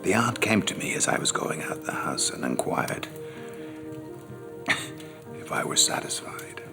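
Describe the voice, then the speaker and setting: A man narrating as a worldly gentleman memoirist, in scripted dramatic style with formal, measured literary phrasing. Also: sits low, big pitch swings, gravelly, over music, exaggerated voice. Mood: detached, confiding. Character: worldly gentleman memoirist recalling his seductions. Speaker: narrator reading a memoir in first person.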